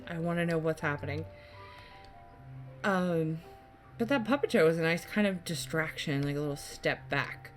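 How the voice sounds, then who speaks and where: A woman doing casual gameplay commentary as a YouTuber, rambling along in a chatty, enthusiastic way. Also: lowish voice. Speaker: gaming YouTuber. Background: game music.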